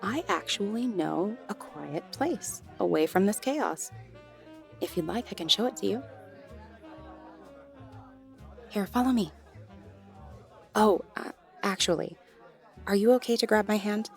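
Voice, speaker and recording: A woman's voice: medium pitch, expressive, normal speed, vocal fry, faint music behind, some chatter behind. A woman playing a friendly, flirtatious gallery worker in an intimate audio roleplay, soothing and teasing as she talks straight to the listener. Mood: soothing, reassuring.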